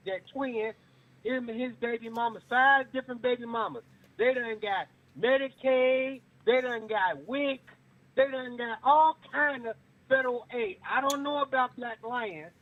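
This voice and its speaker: A man calling in to a radio show, preachy and repeating words for emphasis. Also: extremely high pitch. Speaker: caller. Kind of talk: call-in radio comment. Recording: tinny phone line.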